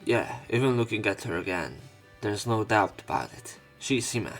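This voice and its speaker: A man's voice: lowish voice, animated, moderate pace, fairly bright tone, soft background music. A man hosting a let's play, reading a visual novel aloud theatrically and adding casual commentary.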